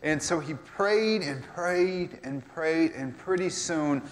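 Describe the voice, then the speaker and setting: A man's voice: on the high side, takes it slowly. A man telling a saint's story casually, rambling with fillers, in a church skit. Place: church sanctuary. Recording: echoey church hall.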